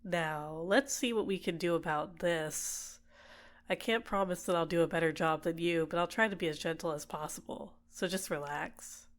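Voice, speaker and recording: A woman playing a doting, possessive villain in an ASMR roleplay, speaking in a gentle, coaxing, soothing way. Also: fairly low pitch, moderate pace, close to an everyday voice. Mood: teasing, doting. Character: doting, possessive female villain caring for a captive. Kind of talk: ASMR roleplay, personal attention.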